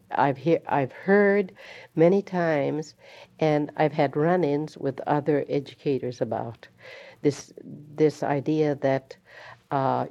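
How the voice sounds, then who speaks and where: Slow, deep-voiced woman teacher recalling. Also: warm tone. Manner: slow, halting, reflective. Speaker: schoolteacher. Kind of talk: recounting memories in an interview. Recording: dull lo-fi recording.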